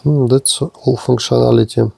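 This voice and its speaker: A man giving a hands-on demonstration of gear as a product reviewer, speaking in short, halting phrases. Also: medium pitch, steady tone, creaky, very noisy.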